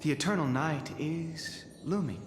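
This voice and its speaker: An actor playing a newly appointed chaplain in a fantasy game, addressing citizens in grand, measured, ceremonial oratory. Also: male voice, a little high, natural voice. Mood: solemn proclaiming. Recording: echoey hall reverb over game music.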